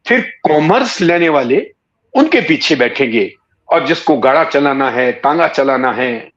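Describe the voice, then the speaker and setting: A man in his sixties from Rajasthan reminisces in a conversational interview, telling stories in an unhurried way with hesitant pauses. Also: fairly high pitch, a bit bright. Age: 60s. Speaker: interview guest, writer and former cricket commentator. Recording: dull, muffled lo-fi audio.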